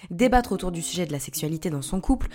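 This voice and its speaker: Woman narrator, lowish voice, measured essay-style reading. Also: lively intonation, dark tone. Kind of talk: scripted narration.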